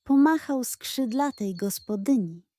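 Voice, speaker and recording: A woman's voice: on the high side, lively intonation, a little slow, dark tone, dry recording. A woman narrating a scripted children's bedtime story as a storyteller.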